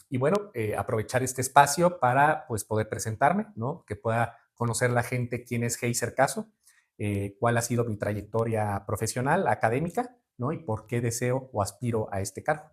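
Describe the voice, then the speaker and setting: A man in his forties from Veracruz, Mexico, with a Mexican Spanish accent, giving measured, formal interview answers with frequent fillers and tag questions. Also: mid-range voice. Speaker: judicial candidate guest.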